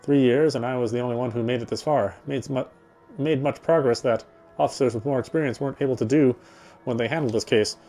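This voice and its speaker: A man's voice: medium pitch, quick pace, mellow, faint music behind. A man reading first-person visual novel narration aloud in a conversational way, now and then stumbling and repeating himself.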